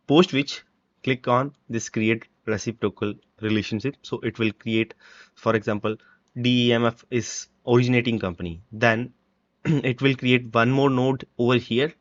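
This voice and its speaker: Male software trainer, halting step-by-step delivery, medium pitch, dry but muffled recording. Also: steady tone, no room sound. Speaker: software tutorial presenter. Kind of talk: step-by-step screen-recording walkthrough. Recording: dull, lo-fi microphone sound.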